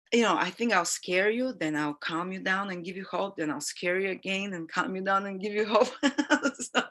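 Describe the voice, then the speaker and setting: A woman's voice: medium pitch, pushed and bright. Sniffly woman astrologer chatting live. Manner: chatty, rambling and earnest. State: sick with a sniffly nose. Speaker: astrologer and channel host. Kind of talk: live-stream forecast chat.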